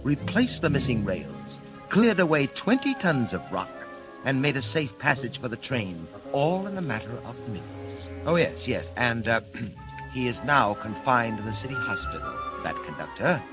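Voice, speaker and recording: A male actor as an imperious criminal mastermind from a 1940s radio serial. His speech is formal, commanding and condescending, with dramatic swings in pitch. Loud music plays behind him on an old recording. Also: very animated, normal speed, natural voice, rough recording. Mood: scornful.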